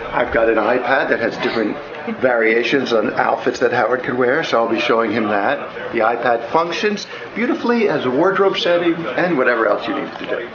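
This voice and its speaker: A man's voice: mid-range voice, animated, a little fast. A man working as a wardrobe stylist, explaining his job casually. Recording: dull sound with crowd noise. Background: crowd.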